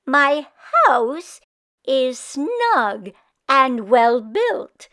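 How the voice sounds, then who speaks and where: A woman's voice: sits high, sing-song, drawn-out words, a bit bright, full character voice. A woman voicing an older woman, a dramatic, exclamatory kvetch from a storybook. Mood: grateful. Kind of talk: storybook character voice.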